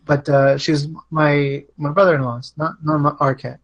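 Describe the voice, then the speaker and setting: A man's voice: on the high side, little pitch movement, moderate pace, dull audio. A man talks casually as a guest on a live show. He feels his way through what he says, thinking aloud. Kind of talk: casual chat.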